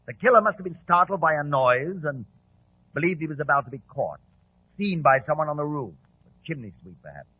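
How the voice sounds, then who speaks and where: A man's voice: fairly high pitch, animated, fairly quick, breathy edge, no room sound. An actor playing an officious, blustering male Scotland Yard inspector in a 1940s radio drama. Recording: tinny, dry old 1940s radio recording.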